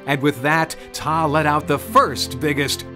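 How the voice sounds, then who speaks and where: A man's voice: a little high, steady tone, unhurried. A man reading a scripted children's storybook narration. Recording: music behind the voice. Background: background music.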